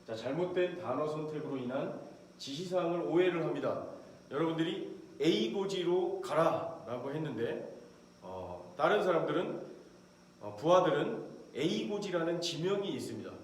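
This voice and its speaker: A man's voice: fairly high pitch, expressive, moderate pace. A middle-aged man, a professor, explaining a point in a recorded lecture and acting out short quotes from soldiers now and then. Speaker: professor lecturing on military leadership. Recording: very echoey room, faint background noise.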